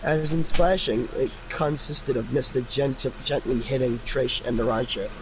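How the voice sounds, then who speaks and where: A man's voice: mid-range voice, normal speed. Informal man over background noise. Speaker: fanfiction reader and commentator. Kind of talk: casual amateur read-aloud with chatty commentary.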